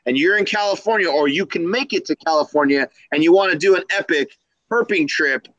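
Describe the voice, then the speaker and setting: A man's voice: on the high side, fairly quick, a bit bright, no echo, lo-fi sound. A man hosting a reptile keepers' live stream in a casual, slangy, upbeat style, hyping up the community. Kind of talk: casual live-stream chat with shout-outs.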